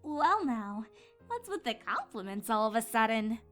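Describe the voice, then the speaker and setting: A woman's voice: a little high, big pitch swings, normal speed, fairly bright tone, faint music behind. A woman voicing an earnest, easily flustered young female pony princess in a fan fiction audio drama. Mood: bashful, curious.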